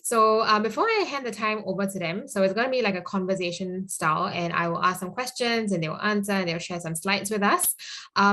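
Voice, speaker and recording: A woman's voice: medium pitch, fairly bright tone. A woman hosting an online talk, introducing the guests in a friendly, upbeat way with frequent fillers. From Singapore. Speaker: host, moderator. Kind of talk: event moderation.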